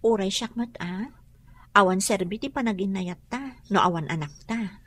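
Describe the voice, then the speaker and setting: An actress playing a gentle, wry young wife in a radio drama. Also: female voice, medium pitch, normal speed, lightly acted. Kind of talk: Ilocano radio drama acting.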